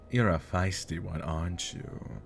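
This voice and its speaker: A man's voice: sits low, gravelly, heavily acted. A man playing a smug, teasing elder demon nobleman with mocking politeness and flirtatious charm, in an ASMR fantasy roleplay.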